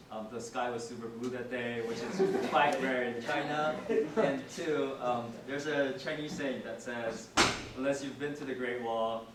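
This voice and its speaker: A young man, a student panelist, introducing himself casually with many fillers. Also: medium pitch. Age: young adult. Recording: echoey room, far from the mic, noisy.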